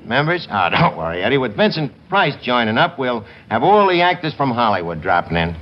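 An actor playing a scheming, wisecracking lowbrow tavern manager with a New York accent in 1950s radio comedy, using ungrammatical, streetwise speech. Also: male voice, very animated, a little fast, natural voice. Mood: breezy, scheming. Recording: noisy, muffled old radio broadcast recording.

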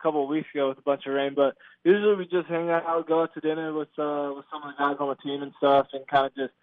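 A man's voice: on the high side, fairly even intonation, fast, dry recording. A man in his twenties from California, giving a casual, laid-back interview as a guest over the phone. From California, United States. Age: 20s. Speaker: baseball player, interview guest. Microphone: phone call. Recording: tinny narrow phone line.

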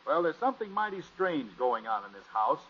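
Exasperated, high-pitched radio sitcom dad. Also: male voice, big pitch swings, brisk, husky. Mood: exasperated, grumbling. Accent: American. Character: exasperated middle-aged father, grumbling over his newspaper. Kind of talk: 1950s radio sitcom acting. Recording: muffled old radio recording.

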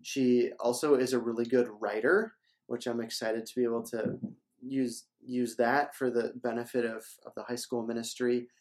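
A man's voice: mid-range voice, fairly even intonation, normal speed, a little mellow. A young man from Minnesota, a church staff member hosting a casual interview, upbeat and promotional and often repeating words.

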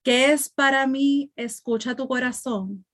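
Slow-speaking woman podcast host. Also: sits high, fairly bright tone. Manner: slow, hesitant, many fillers and restarts. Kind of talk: reflective podcast monologue.

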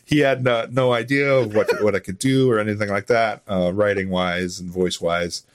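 A man's voice: lowish voice, fairly even intonation, normal speed, no room sound. A man chatting casually as a podcast guest, rambling in a self-deprecating, chuckling way. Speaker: podcast guest, audio drama writer and voice actor. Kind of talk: casual interview chat.

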